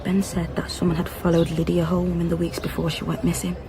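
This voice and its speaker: An actress playing a guarded undercover policewoman, measured and questioning, in a naturalistic radio drama. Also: on the low side, completely flat delivery, a crowd in the background, close to an everyday voice. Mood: probing. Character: guarded undercover policewoman posing as a nanny, probing.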